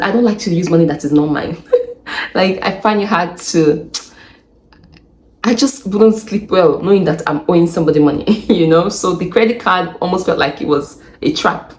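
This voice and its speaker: A young Nigerian woman with a low voice talks through her advice in a relaxed, chatty way. She laughs easily and circles back to repeat her points. The sound carries a noticeable room echo. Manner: chatty, laughs often, repeats herself for emphasis. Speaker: vlogger. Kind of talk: casual advice vlog. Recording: echoey room.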